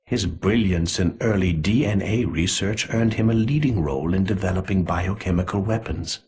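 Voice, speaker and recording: A cruel conspiracy mastermind narrating a video game story. His voice is very husky and raspy, sitting on the low side. He speaks with measured theatrical relish, coldly amused and sinister. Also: a little mellow. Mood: smug reminiscing. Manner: measured, theatrical, savoring words. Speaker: villain narrator.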